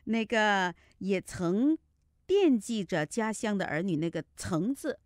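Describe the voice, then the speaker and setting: Animated woman teacher giving explanatory feedback. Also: medium pitch, measured pace, no echo, clean studio sound. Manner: explanatory, repeats points for emphasis. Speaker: Mandarin teacher and competition judge.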